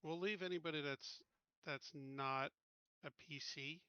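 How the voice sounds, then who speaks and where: Higher-pitched, bright-voiced male gamer. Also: steady tone, unhurried, smooth, no room sound, dull audio. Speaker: tabletop game player. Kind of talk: casual game planning.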